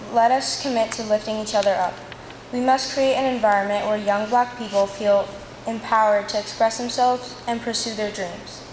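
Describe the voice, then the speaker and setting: A woman reads a prepared speech in a measured, formal way, her pitch in a middle range. The voice rings through a big space with a long tail of reverb. A faint hiss of room noise sits under it. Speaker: student speaker. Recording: echoey hall with faint noise.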